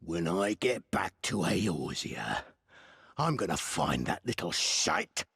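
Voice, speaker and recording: A man playing a rough, battered, angry fighter in a video game, his voice very raspy and gravelly, in long reverb. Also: low-pitched, huge pitch swings, slow, a bit bright, full character voice. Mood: pained fury. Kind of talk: dramatic video game cutscene acting.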